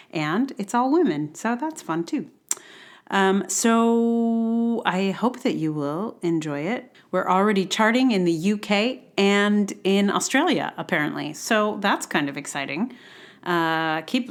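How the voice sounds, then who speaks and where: Chatty lower-voiced woman podcast host. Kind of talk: casual unscripted podcast introduction.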